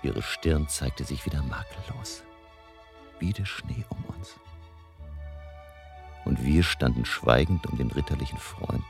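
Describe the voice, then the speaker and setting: Grave older male vampire hunter, radio horror drama narrator. Bottomless, creaky voice, solemn and intense, faint score underneath. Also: very deep voice, measured pace, pauses often, full character voice. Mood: solemn narrating. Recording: faint music behind the voice.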